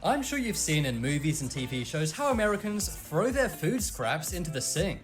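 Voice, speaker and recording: Lively scripted list narrator. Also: mid-range voice, moderate pace, soft background music. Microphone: voice-over.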